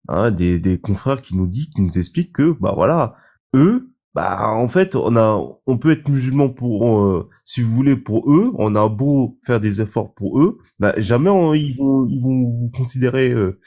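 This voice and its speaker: Low, mellow-voiced male caller. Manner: hesitant, many fillers and restarts. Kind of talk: casual opinion monologue.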